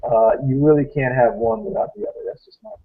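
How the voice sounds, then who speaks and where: A man's voice: medium pitch, lively intonation, a little fast. A man in his thirties hosting a casual panel chat, rambling and repeating words as he makes his point. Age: 30s. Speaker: host. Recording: muffled lo-fi stream audio.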